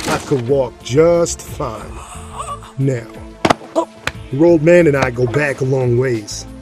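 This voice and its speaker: A man acts out a brawny middle-aged enforcer from a crime audio drama. His mid-range voice is streetwise and folksy, with a cocky, menacing swagger. Music plays softly underneath. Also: medium pitch, close to an everyday voice.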